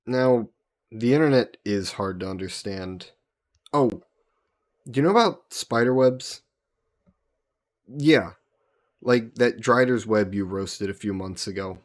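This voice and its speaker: Man playing a slow-talking, friendly fantasy hero. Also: lowish voice. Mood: eager explaining. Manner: conversational, patiently explaining in simple terms. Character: friendly human hero from Earth, patient and eager. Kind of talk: roleplay audio drama, one-sided conversation with a listener.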